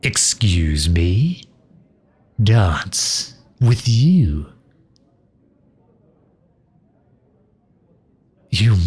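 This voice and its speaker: Man acting a deep, husky, sardonic mage. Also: full character voice. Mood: incredulous, flustered. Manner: dry, grumbling, sharp-tongued, with hesitant breaks. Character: sardonic, loyal male mage advisor to a demon ruler. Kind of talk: intimate ASMR roleplay acting.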